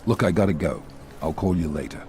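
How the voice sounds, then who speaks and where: An actor playing a firm, brusque task-force director giving clipped, authoritative briefings in a video game cutscene. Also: male voice, low voice, lively intonation, fairly quick, background noise.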